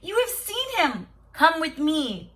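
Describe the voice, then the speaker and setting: A wise, gentle woman from a storybook folktale, voiced by a woman reading aloud. Her voice is bright and fairly high, probing and full of wonder. It is heard slightly away from the microphone. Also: huge pitch swings, takes it slowly, distant mic, heavily acted. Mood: wonder and delight. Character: wise, gentle woman, sister of a spirit being.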